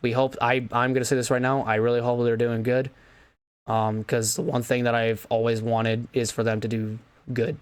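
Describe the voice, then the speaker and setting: A hoarse young man losing his voice, rambling earnestly with stumbles and restarts as he wraps up a sim racing commentary. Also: medium pitch, level tone, moderate pace. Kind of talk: casual outro after play-by-play commentary.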